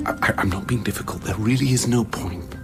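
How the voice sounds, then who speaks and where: An actor playing an evasive, cynical scientist on a remote station in a radio play, cagey and sardonic, with halting, wordy delivery. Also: male voice, lowish voice, over loud music, lightly acted. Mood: hushed, secretive. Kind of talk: radio drama acting.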